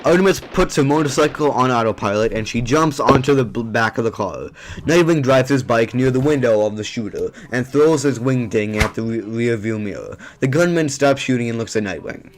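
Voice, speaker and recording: A man's voice: mid-range voice, lively intonation, a little fast, slight background noise. A man narrating a scripted audio drama.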